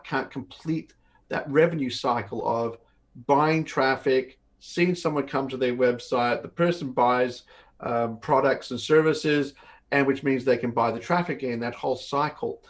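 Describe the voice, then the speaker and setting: Webinar host, male, 50s, mid-pitched, conversational explainer who repeats words as he goes, on a video call. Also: measured pace. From born in Australia, grew up in northern Canada. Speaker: company host of an online Q&A session. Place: home office, indoors.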